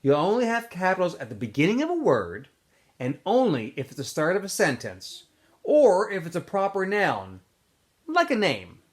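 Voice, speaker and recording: A man's voice: sits high, huge pitch swings, normal speed, pitched above the performer's own voice. A man reading aloud and voicing an earnest boy who patiently explains things to a friend.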